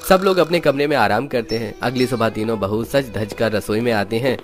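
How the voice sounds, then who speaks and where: A man's voice: medium pitch, fairly quick, soft background music. A man reading scripted narration for a story.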